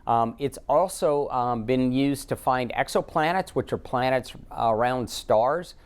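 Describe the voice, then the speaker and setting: An American man with a smooth, clear voice of average pitch gives unscripted interview answers. He talks haltingly, filling gaps with ums. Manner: halting, frequent ums while thinking. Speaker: astronaut, interview guest.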